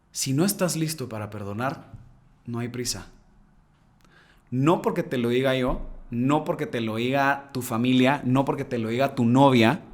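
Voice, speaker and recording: Male podcast host, casual heartfelt monologue, slangy, soft-to-emphatic delivery, average pitch. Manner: earnest, slangy, addresses listeners directly; loudness swings between soft and emphatic.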